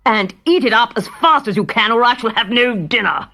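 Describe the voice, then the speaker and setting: A woman's voice: on the high side, animated, normal speed, sharp tone, exaggerated voice. A woman playing a bossy, greedy storybook king. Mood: cross, bossy. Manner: imperious, exclamatory. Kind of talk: storybook character voice.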